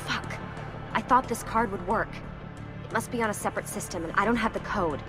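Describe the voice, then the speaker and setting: An actress playing a serious, determined undercover agent in a video game, speaking directly. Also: female voice, medium pitch, a little fast, close to an everyday voice. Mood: urgent. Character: urgent, determined female undercover agent on the run. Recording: music clearly behind.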